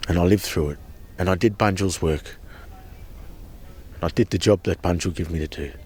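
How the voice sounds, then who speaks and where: A man's voice: low-pitched, moderate pace, a little mellow, breathy edge. A man giving an earnest, impassioned personal account as a protester, often repeating and restarting his words. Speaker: protester at a sacred site camp.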